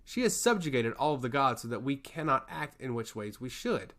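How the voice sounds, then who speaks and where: A man's voice: mid-range voice, very animated, a little fast, fairly bright tone. A man voicing a passionate god of chaos who loves humanity, speaking in earnest, emphatic monologue in a fantasy story. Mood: resentful. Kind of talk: fantasy storybook character dialogue.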